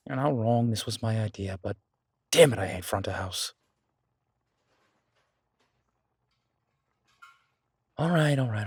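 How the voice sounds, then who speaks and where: A man playing a shy, devoted chef boyfriend in an intimate audio roleplay, speaking in a halting, self-deprecating, affectionate way. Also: mid-range voice, breathy edge, dry recording, studio quality. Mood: reluctant, exasperated. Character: Beau, warm, shy, flustered male chef and devoted boyfriend.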